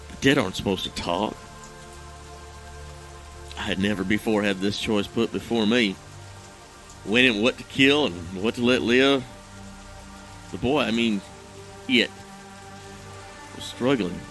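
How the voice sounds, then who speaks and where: An actor playing a troubled, guilt-ridden husband in an audio drama, recounting a memory in a halting, hesitant, brooding monologue. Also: male voice, fairly low pitch, fairly bright tone, poor audio.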